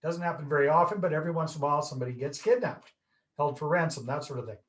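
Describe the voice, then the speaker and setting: A man's voice: fairly high pitch, very fast, a bit bright. Chatty Canadian man on a video call. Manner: jokey, chatty, self-deprecating storyteller. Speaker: guest. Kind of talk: casual interview chat.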